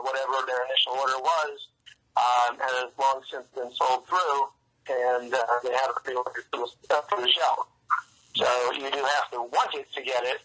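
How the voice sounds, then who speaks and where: Male interview guest, bright forward voice, halting explanatory delivery, telephone-style sound. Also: medium pitch, low-quality recording. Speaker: interview guest, comics writer and news site publisher. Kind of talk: interview conversation.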